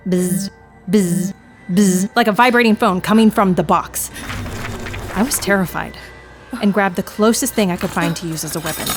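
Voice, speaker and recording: An actress playing a frazzled female knitter confessing in an audio drama dark comedy, with a theatrical, wry, confiding manner. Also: lowish voice, natural voice.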